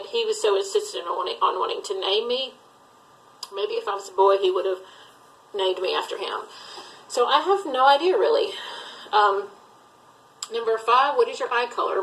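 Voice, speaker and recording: A middle-aged woman from Alabama talks through a casual get-to-know-me video, rambling from one point to the next with plenty of fillers. Her voice sits at an ordinary middle pitch, and her manner is chatty and easygoing. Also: fairly bright tone, rough recording. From Southern US, Alabama. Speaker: thrift reseller vlogger. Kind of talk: casual tag video chat.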